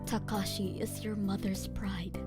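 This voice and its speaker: A woman's voice: mid-range voice, level tone, unhurried, darkish tone. A woman giving scripted first-person narration of a story as a voice actor. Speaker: narrator and voice actor in a manga dub. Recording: music bed under the voice. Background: background music.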